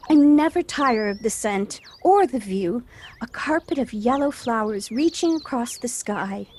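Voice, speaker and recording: Casting: actress as gentle, stoical widow and mother, highish lively voice, reassuring, home-recorded audio play. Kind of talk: amateur audio drama acting. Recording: noisy home recording on personal device.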